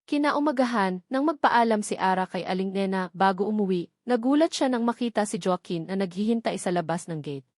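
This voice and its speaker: A woman's voice: medium pitch, lively intonation, brisk, darkish tone, dry recording, studio quality. A woman with a Filipino accent reading a scripted romance story as its narrator.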